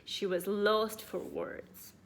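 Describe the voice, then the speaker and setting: A woman's voice: mid-range voice, huge pitch swings, slow pace, slight background noise. A woman storyteller reading a children's story aloud expressively.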